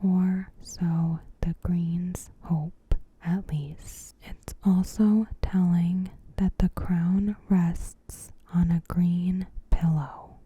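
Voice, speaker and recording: A woman narrates in an ASMR whisper, extremely breathy and mellow. Her delivery is slow, gentle and carefully phrased, staying very even in pitch as she reads her scripted recap. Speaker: ASMR host.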